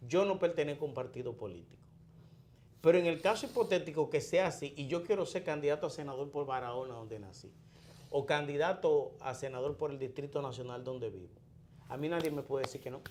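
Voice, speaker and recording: A man from the Dominican Republic with a Dominican Spanish accent, his voice pitched a little high for a man. He talks through politics at a measured pace, explaining point by point and stumbling into restarts and fillers along the way. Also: a little mellow, a little hiss or hum. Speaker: commentator. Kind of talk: morning political commentary.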